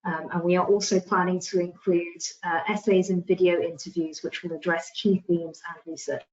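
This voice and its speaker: A woman's voice: lowish voice, little pitch movement, moderate pace, darkish tone. A woman giving an online slide presentation in a hesitant, informal way over lo-fi call audio.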